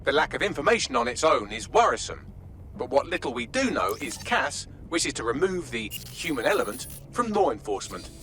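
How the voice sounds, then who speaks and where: An actor playing a dry-witted, articulate artificial intelligence assistant in a video game. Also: male voice, mid-range voice, sing-song, a little fast, fairly bright tone, faint music behind. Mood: briefing. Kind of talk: video game cutscene acting.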